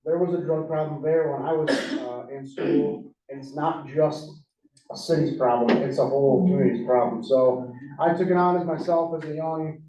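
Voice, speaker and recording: Man, police sergeant at public meeting, earnest, halting; mellow tone, dull distant room sound. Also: fairly high pitch, a little hiss or hum. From Wisconsin. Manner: earnest, hesitant with many fillers. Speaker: police drug unit sergeant. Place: large town meeting hall. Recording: echoey meeting hall, far mic, dull.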